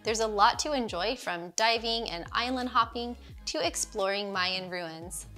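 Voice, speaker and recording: A woman's voice: medium pitch, measured pace, sharp tone, soft background music. A woman in her thirties giving upbeat, scripted travel narration as a vlogger, recorded on a lapel mic in a small living room. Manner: upbeat, clear presenter delivery.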